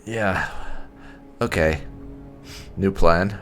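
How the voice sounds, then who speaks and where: A man's voice: sits low, drawn-out words, a bit bright, soft background music. A man giving casual roleplay commentary over a video game he is hosting. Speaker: gaming streamer, host.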